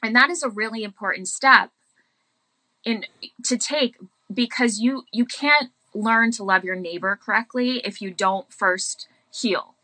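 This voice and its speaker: A woman with a bright, crisp-edged voice, recorded close and dry. She talks casually and reflectively about faith, explaining her points thoughtfully and leaning on key words for emphasis. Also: on the high side, unhurried, no echo, low-quality recording.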